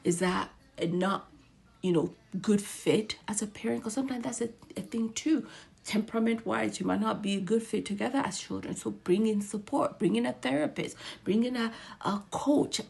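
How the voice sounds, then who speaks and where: A woman with a gravelly, fry-tinged voice at a middle pitch. She speaks as a provider giving casual, earnest advice. She repeats her points and slips into half-whispered asides. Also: normal speed, darkish tone. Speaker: mental health provider.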